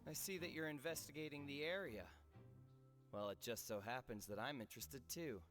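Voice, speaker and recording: A man's voice: medium pitch, fairly quick, fairly bright tone, faint music behind, lightly acted. A man voicing an inquisitive, self-assured investigator in fantasy video game dialogue, polished and theatrical. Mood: confident, intrigued.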